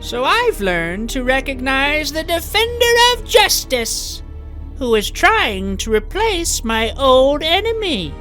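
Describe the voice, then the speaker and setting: A woman voices a pompous, menacing villain for a comic dub, an idealist locked away. The delivery is slow and very animated, in a high voice. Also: soft background music, heavily acted. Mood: smug. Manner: pompous, philosophising. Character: imprisoned mastermind villain, smug, idealistic and menacing. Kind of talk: theatrical comic dub acting.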